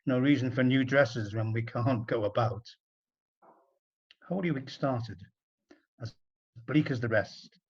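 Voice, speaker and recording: Husky man reading a rhyming storybook. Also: mid-range voice, slow pace, long pauses, highs cut off. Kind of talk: dramatic rhyming storybook narration.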